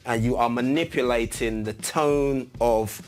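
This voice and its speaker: A man's voice: medium pitch, a little slow, a little mellow. Casual man giving a music gear tutorial. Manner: informal, chatty, step-by-step explaining. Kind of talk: casual instructional demonstration.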